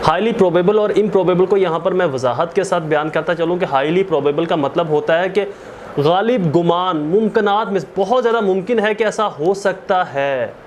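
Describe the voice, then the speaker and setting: A man's voice: high-pitched, normal speed, slight background noise. A man with a Pakistani accent teaching as a law lecturer, explaining in a didactic way, repeating and rephrasing key terms and mixing in English.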